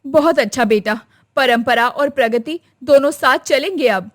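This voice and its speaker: A woman's voice: high-pitched, measured pace, fairly bright tone. A woman voicing a traditional older mother-in-law, stern and scolding but tender underneath. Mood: approving.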